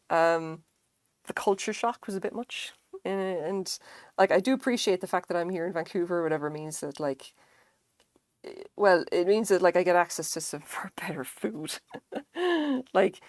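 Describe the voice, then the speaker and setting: An Irish woman in her thirties, chatting loosely to a live-stream audience. Her voice sits a little low, and she rambles and swears with easy humour. The sound is dry and close, with no room echo. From Ireland. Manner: chatty, rambling, swears freely, laughs often. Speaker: crochet streamer. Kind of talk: casual live-stream chat.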